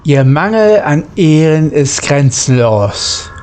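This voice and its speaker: Slow-paced man hosting a let's play. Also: on the high side, big pitch swings, a little mellow, slight background noise. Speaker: gaming channel host. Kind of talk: casual let's play commentary, reading dialogue aloud.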